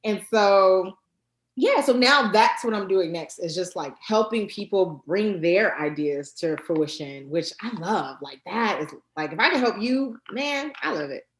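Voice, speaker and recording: A woman guest in a casual interview, talking with lively energy, many fillers and quick restarts. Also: medium pitch, echoey room. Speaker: guest, card deck creator.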